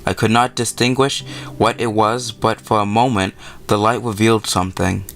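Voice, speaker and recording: A man's voice: lowish voice, steady tone, moderate pace, a bit bright, faint music behind. A man hosting a let's play, reading a visual novel aloud with casual commentary and sometimes stumbling over his words.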